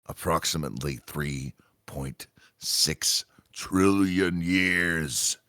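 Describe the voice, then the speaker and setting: A man playing a grave commanding agent in a sci-fi radio drama, briefing with deliberate, dramatic emphasis and pauses. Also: very deep voice, sharp tone, dry recording, studio quality, exaggerated voice. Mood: grave, urgent. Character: grave male commanding agent of a multiverse agency.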